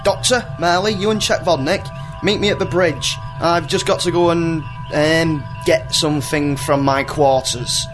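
An actor playing a bumbling, trigger-happy starship captain in a comedy radio play, by turns hesitant and blustering. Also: male voice, a little high, fairly even intonation, measured pace, few pauses, close to an everyday voice. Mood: bumbling, casual. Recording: music behind the voice.

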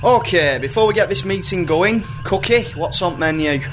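Guy doing a bumbling space captain, kinda high voice, blustery, comedy radio thing w music under it. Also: animated, normal speed. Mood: bumbling, casual. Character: bumbling, trigger-happy male starship captain. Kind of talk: comedy radio play acting. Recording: music behind the voice.

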